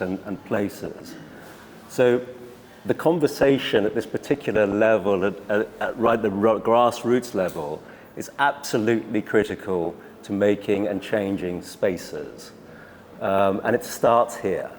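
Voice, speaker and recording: A man's voice: on the low side, darkish tone, slight background noise. A middle-aged man speaking as a panelist at a public talk, earnest and repetitive, with frequent restarts. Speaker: panelist, urban developer.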